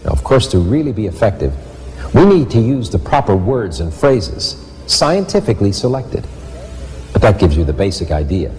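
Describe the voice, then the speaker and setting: A man with a fairly low voice presents an explanation in measured, formal wording. His intonation is lively, and long reverb and faint music surround him.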